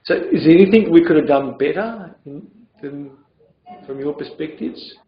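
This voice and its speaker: A middle-aged man with a raspy voice, giving an informal workshop in a blunt, conversational way. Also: fairly high pitch, animated, normal speed. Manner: blunt, conversational, repeats words. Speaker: workshop presenter. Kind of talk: informal training talk. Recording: echoey room, dull lo-fi sound.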